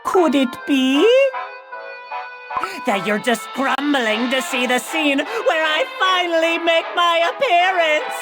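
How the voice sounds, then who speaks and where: A woman's voice: high voice, slow. A woman playing a vain, theatrical trickster villain cookie in a game, narrating pompously and teasingly as if hosting a grand stage show. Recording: music behind the voice.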